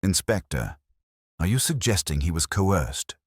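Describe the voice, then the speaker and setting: Audio mystery role: fretful village vicar played by a male narrator, low voice, urgent, swooping intonation. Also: very animated, unhurried, husky, no room sound, clean studio sound, heavily acted. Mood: frantic, insistent. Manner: breathless, urgent, frantic.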